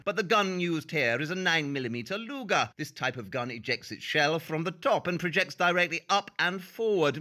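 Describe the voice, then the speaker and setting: An actor plays a brilliant, self-assured male detective in an audio drama. His voice is bright and forceful, swinging widely in pitch as he lectures with precise emphasis.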